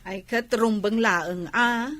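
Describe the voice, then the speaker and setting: A woman's voice: mid-range voice, slow, a bit bright. A woman playing a chatty married Ilocano village woman in a comedy radio drama, given to exclaiming and exaggerated reactions. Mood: conversational. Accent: Filipino, Ilocano.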